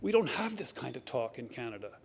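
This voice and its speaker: A man's voice: medium pitch, very animated, fairly quick, creaky, husky. A middle-aged man with an Irish accent giving a heartfelt acceptance speech, earnest and reflective, with frequent restarts. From Ireland. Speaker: award recipient giving a speech. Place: banquet hall at a lectern.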